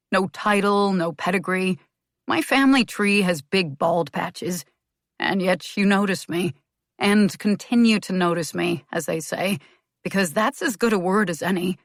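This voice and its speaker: A woman voicing a calm, gently ironic American gentleman who speaks deliberately. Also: lowish voice, dry recording, clean studio sound. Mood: wry. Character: wry, cool-headed American gentleman suitor.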